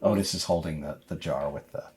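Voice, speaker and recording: A man narrating a tabletop game as storyteller, improvising in a halting, conversational way. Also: rumbling low, darkish tone, husky.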